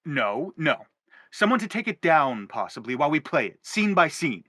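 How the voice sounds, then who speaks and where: A man's voice: on the high side, big pitch swings, normal speed, a bit bright, dry recording, studio quality. A man playing an earnest, persuasive, self-dramatizing father in an audio stage play. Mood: eager, persuasive. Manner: earnest, insistent, theatrical.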